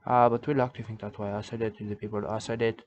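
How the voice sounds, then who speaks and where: A man's voice: fairly low pitch, little pitch movement, slight background noise, lightly acted. A man playing a rambling Aussie nudist preacher. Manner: halting broken English, repeats a catchphrase. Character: odd rambling male preacher of a nudist church. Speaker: self-styled church leader. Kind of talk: rambling comic monologue. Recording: dull lo-fi recording.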